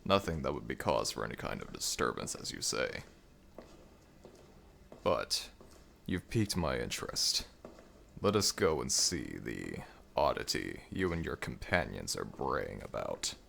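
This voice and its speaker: A man's voice: rumbling low, very animated, unhurried, creaky, fairly bright tone, slight background noise, pitched below the performer's own voice, heavily acted. A man voicing an ancient, weary and grumbling jackal god of the dead in a scripted audio roleplay, with a dry, imperious manner. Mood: weary, musing.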